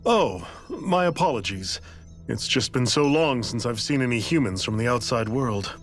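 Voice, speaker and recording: Game scientist male actor: eccentric, absent-minded, animated intonation, music underneath. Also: mid-range voice, normal speed, lightly acted. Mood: distracted. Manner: distracted, hesitant, eccentric. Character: odd, absent-minded male researcher, absorbed in his experiments. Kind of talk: video game cutscene acting.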